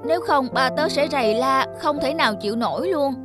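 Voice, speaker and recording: A woman voices a bookish cartoon schoolboy with a high, worried voice. He speaks hesitantly, with clear music behind him. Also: fairly quick, close to an everyday voice. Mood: anxious. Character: anxious, bookish schoolboy fearing his strict father. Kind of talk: cartoon dialogue.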